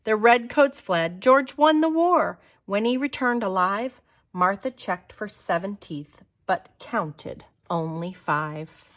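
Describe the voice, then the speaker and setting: A woman reading a children's picture book aloud as a storyteller, with dramatic, deliberate delivery. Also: mid-range voice, lively intonation.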